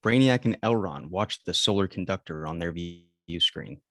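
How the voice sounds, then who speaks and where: A man's voice: low-pitched, expressive, moderate pace, bright, forceful tone, no echo. A man reading scripted stage directions as narrator at a table read.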